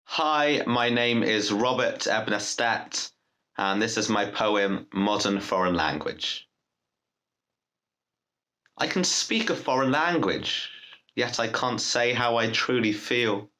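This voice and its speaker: A young man reading his own poem aloud in measured, declarative phrases. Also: mid-range voice, animated, sharp tone. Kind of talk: poetry recitation.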